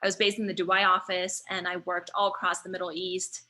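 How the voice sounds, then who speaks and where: A woman's voice: lowish voice, level tone, a little fast, bright, forceful tone. A woman in her thirties, born in China, answering interview questions thoughtfully over a remote call, with frequent fillers and restarts. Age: 30s. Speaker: guest, startup founder.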